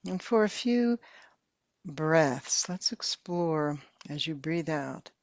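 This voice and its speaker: Slow, soft-spoken woman guiding meditation. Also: low voice, no room sound, dull audio. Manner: slow, soft and calm, with long pauses. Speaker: meditation teacher. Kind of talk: guided meditation.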